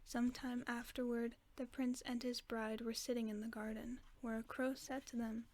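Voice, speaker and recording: Woman doing a caring werewolf buddy, soft whispery bedtime voice, kinda high, flat and calm, slow soothing reading. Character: gentle caring werewolf friend in human form. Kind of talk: ASMR sleep-aid roleplay.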